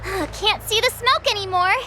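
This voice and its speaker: A woman playing an excitable, cheerful young girl in a video game. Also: extremely high pitch, moderate pace, heavy background noise. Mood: excited.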